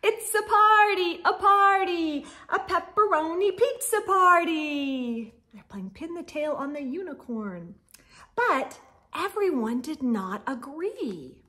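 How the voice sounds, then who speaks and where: A middle-aged woman reading a children's storybook aloud with expressive, exaggerated emphasis and sing-song refrains. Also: very high-pitched, takes it slowly. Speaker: storytime read-aloud host. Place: indoor room with bookshelves.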